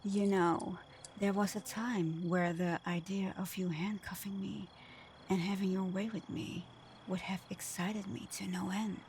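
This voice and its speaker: A woman's voice: medium pitch, flat delivery, unhurried, a little mellow, slight background noise, lightly acted. A woman playing a sly, flirtatious supervillain in a scripted ASMR roleplay, murmuring breathily with teasing hums. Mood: sly, hushed. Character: flirtatious, sly female supervillain teasing her hero nemesis.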